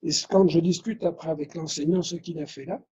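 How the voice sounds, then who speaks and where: A man's voice: on the high side, steady tone, brisk, dark tone, slightly rough, muffled recording. A man from Belgium whose first language is Dutch, giving a conference lecture, restarting phrases and adding tag questions. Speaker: conference speaker.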